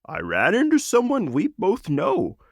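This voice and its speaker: A man's voice: high-pitched, huge pitch swings, a little slow, no echo, clean studio sound, pitched above the performer's own voice, full character voice. A man voicing a proud, noble lizard wizard in a storybook, speaking in a solemn, declamatory way.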